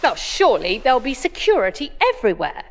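Plucky English female reporter, audio drama; higher voice, animated, teasing and wry. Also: quick pace. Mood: wry, uneasy. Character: plucky, cheeky English woman freelance journalist. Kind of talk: full-cast audio drama acting.

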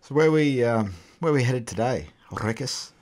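A man's voice: medium pitch, expressive, moderate pace, darkish tone. A middle-aged Australian man co-hosting a casual whisky podcast, jokey and swearing, chiming in with quips. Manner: jokey, swears freely, chimes in with short quips. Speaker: podcast co-host. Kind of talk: casual chat over whisky tasting. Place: small home studio with barrel backdrop. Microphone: boom studio mic with headphones.

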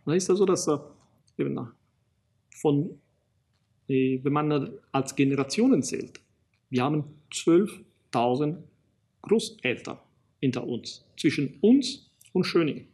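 A middle-aged man from Italy with an Italian accent, giving a public lecture as an archaeologist, pausing often with fillers and restarting sentences. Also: on the high side, lively intonation, darkish tone, slightly rough. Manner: halting, many fillers and restarts. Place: small studio in front of a banner.

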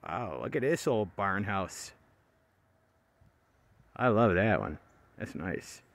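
A man's voice: lowish voice, lively intonation, slow pace, vocal fry, dry recording. A man casually narrating as he hikes an abandoned rail line, rambling with lots of fillers, recorded outdoors on a handheld phone. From not local to the area. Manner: rambling, chatty, hesitant with fillers. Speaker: railfan vlogger. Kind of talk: casual walking commentary.

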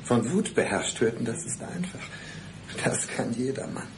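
An actor playing a calm, cold-blooded would-be killer in a radio play, measured, deliberate and coolly menacing. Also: male voice, medium pitch, creaky, noisy background.

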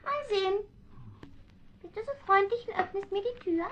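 A woman voicing a polite, cheeky and self-assured male talking cat in a radio play, speaking in a genteel, coaxing way with soft whispered asides. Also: very high-pitched, moderate pace, noisy background. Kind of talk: vintage radio play acting. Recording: dull older lo-fi recording.

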